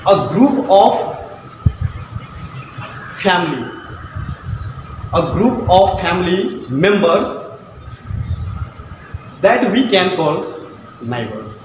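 A man in his thirties with a Nepali accent, a Maithili speaker, teaching a school lesson to camera in short, halting phrases. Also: high voice, big pitch swings, slight background noise. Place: classroom with whiteboard, indoors. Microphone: far camera. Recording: echoey room from far off.

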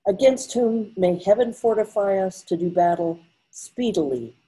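An older woman reading scripted storybook narration in a measured, formal manner. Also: medium pitch, warm tone, rough recording. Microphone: computer mic on a video call.

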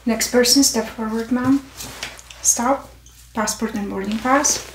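A young woman playing a stern, methodical airport security officer in a soft-spoken ASMR roleplay, deliberate and deadpan. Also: fairly high pitch. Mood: stern, procedural. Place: small indoor room set. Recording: roomy, distant, noisy with clicks.

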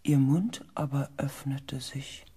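A man playing a shaken, earnest expedition pilot in a science fiction radio play, with weighty, hushed dramatic delivery. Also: medium pitch, takes it slowly, husky. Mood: hushed, tense.

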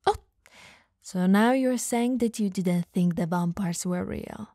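A woman playing an elegant, dominant vampire lady who teases flirtatiously yet caringly in an ASMR roleplay, softly and breathily. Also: medium pitch, no echo, clean studio sound, lightly acted. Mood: smug, amused. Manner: soft, breathy, slow teasing delivery, often dropping to whispers.

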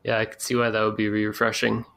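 A man's voice: on the low side, monotone, quick pace, gravelly, fairly bright tone, clean tone. A man hosting a podcast interview, asking questions in a conversational style.

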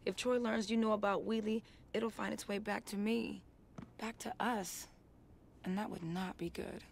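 Woman doing a worried girlfriend, soft and pleading, faint hiss behind, game cutscene. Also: medium pitch, fairly even intonation, moderate pace, gravelly, natural voice. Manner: soft, pleading, hushed at times. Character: young woman, worried girlfriend warning her man. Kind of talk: video game cutscene acting.

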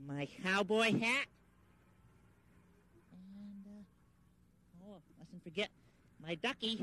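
Childlike demonstrator, animated swoops, sharp bright tone, deliberate, children's educational sketch. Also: male voice, high voice, a little hiss or hum, full character voice.

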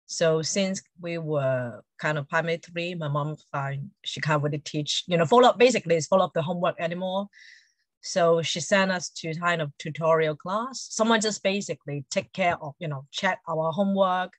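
Low-voiced, chatty Hong Kong woman. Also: normal speed, dry recording. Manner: chatty, frequent "you know". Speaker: interview guest, Montessori educator. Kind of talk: casual interview answers. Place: indoor room at home, video call.

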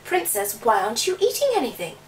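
A woman voicing a small male talking frog in a storybook, croaky, eager and pleading. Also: extremely high pitch, huge pitch swings, brisk, echoey room, slight background noise, pitched above the performer's own voice, heavily acted. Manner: pleading, insistent. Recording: distant mic, music behind the voice.

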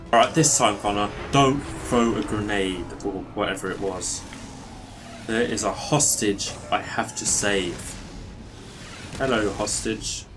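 An excitable young man gives casual commentary on the game he plays and keeps exclaiming to himself. He speaks into a desk mic in a small room at home. Loud game music plays underneath. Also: lowish voice. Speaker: gaming streamer. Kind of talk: casual let's-play commentary.

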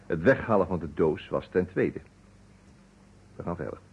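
An actor in an old Dutch radio play playing a shrewd detective who lays out his case in a measured, commanding way. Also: sits low, expressive, husky, heavily acted. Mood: stern, deliberate. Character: shrewd male amateur detective laying out a murder case. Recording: dull old radio recording.

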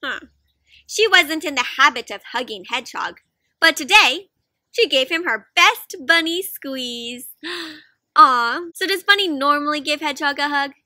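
Casting: young woman, children's read-aloud host, high-pitched and animated, inviting little listeners in with questions. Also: unhurried, no room sound, studio quality. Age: young adult. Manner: expressive, asks frequent questions, gasps. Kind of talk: interactive picture-book read-aloud for children. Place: outdoors in a fenced backyard.

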